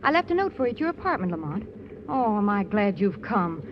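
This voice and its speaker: A woman's voice: medium pitch, sing-song, a little fast, lightly acted. An actress playing a genteel young female companion with a breathless manner, in 1940s radio style. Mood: anxious. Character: refined young woman companion, anxious. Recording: dull, hissy old radio recording.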